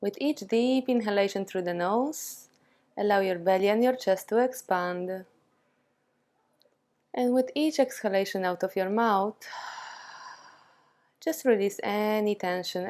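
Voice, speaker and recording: Slow-paced woman guiding a meditation. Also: mid-range voice. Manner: calm, soothing, with long pauses between short phrases. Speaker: yoga and meditation teacher. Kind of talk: guided meditation.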